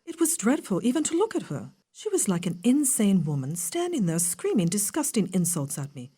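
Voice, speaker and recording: An actress playing an accused young governess, earnest, proper and distressed, with halting, emphatic delivery in melodramatic audio theatre. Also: female voice, medium pitch, very animated, dark tone, slightly rough.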